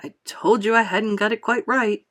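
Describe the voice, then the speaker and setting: A woman's voice: medium pitch, fairly even intonation, moderate pace, fairly bright tone, natural voice. A woman reading aloud, voicing an eccentric male candy maker who sighs and speaks in a rueful, matter-of-fact way.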